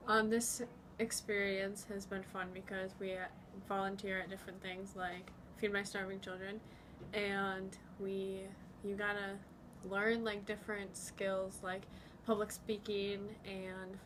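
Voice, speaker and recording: Teen girl, flat and casual, noisy background. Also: mid-range voice, unhurried. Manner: casual, like-filled.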